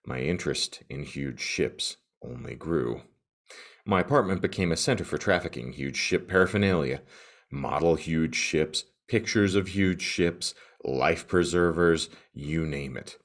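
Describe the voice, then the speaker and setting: Very deep, theatrical male podcast host. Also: measured pace. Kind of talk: mock-serious scripted reading for comedy.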